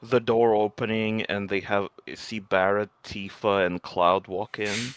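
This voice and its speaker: A man with a lowish voice reacts to a game as a co-host. His talk is casual and sweary, and the sound is very dry with no room to it. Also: flat delivery, a little slow, clear, resonant tone, no echo. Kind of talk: casual chat.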